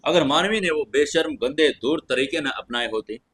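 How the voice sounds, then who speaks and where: Husky male fantasy story narrator. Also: fairly high pitch, animated, moderate pace, fairly bright tone.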